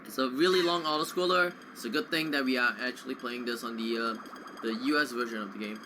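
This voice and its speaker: A man's voice: mid-range voice, steady tone, quick pace. A man casually explaining a video game while he plays it. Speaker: speedrunner commentating own play. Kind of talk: casual gameplay commentary. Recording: faint background noise.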